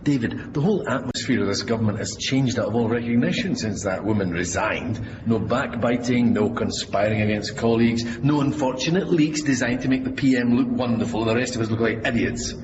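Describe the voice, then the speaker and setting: An actor playing a smug, scornful government minister in a radio drama, grumbling and self-satisfied. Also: male voice, medium pitch, brisk, hardly any pauses, lightly acted. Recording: echoey hall, dull, noisy.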